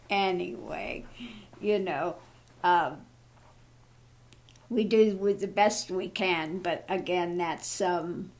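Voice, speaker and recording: Older lady in her 70s just chatting, slow n rambling, voice a bit tired and lowered, takes it with good humour. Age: early 70s. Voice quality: voice lowered and easily tired after thyroid surgery. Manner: good-humoured, laughs at her own troubles. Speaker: online vlogger and tarot reader. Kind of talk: casual personal chat.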